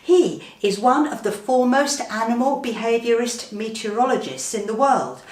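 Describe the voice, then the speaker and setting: A woman's voice: a little high, lively intonation, moderate pace, darkish tone. A British woman reading a comic first-person story in an earnest, self-important, theatrical manner. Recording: roomy, slightly distant microphone.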